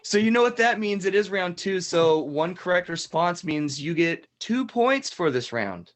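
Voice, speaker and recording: A man's voice: sits high, animated. Man playing a theatrical teasing game show host. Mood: showy, teasing. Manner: repeats questions with drawn-out emphasis. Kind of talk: improvised game show parody hosting.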